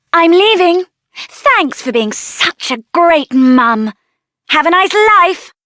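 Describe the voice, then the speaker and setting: Casting: fiery young British mage for a video game, very high-pitched, assertive and expressive. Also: female voice, no echo, studio quality. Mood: firm, tender. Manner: assertive, expressive, theatrical delivery. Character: headstrong, fiery young woman mage avenging her brother. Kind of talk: video game character voice acting.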